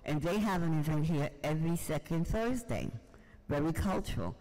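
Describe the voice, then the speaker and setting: A man's voice: fairly high pitch, animated, moderate pace, a little mellow. Older New man in a reverberant hall. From Harlem, New York, United States. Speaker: audience member, writer and poet. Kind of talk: unscripted personal storytelling. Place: large museum event hall, indoors.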